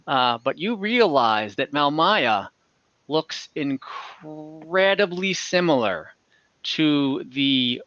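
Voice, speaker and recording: Bright, forceful male game master. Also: mid-range voice, no echo. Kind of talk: casual narration of a tabletop game. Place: home room, indoors, on video call. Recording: dull, lo-fi video-call audio.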